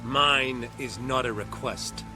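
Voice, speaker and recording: A man's voice: mid-range voice, fairly even intonation, takes it slowly, over music, close to an everyday voice. A man playing a stern, haughty army general in a video game, speaking formally and imperiously. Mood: commanding. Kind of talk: video game character acting.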